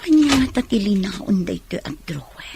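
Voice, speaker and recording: An actress playing an unhappy, resentful young bride in a radio drama, with an Ilocano accent. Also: female voice, mid-range voice, sing-song, moderate pace, gravelly, noisy background. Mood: brooding, curious.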